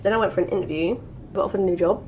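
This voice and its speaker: A woman's voice: on the low side, racing, darkish tone, slight background noise, poor audio. A woman in her twenties from the UK chatting through a makeup routine while getting over a cold, with lots of "like" and "um" fillers. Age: 20s. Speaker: beauty vlogger. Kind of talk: get ready with me chat.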